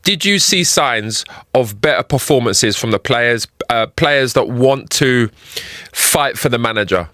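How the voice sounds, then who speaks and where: A man's voice: mid-range voice, lively intonation, a little slow, fairly bright tone, dry recording. Guy co-host, teasing the guest, pushes back, dry close sound. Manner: teasing, challenging. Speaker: sports show co-presenter. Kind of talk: live studio banter.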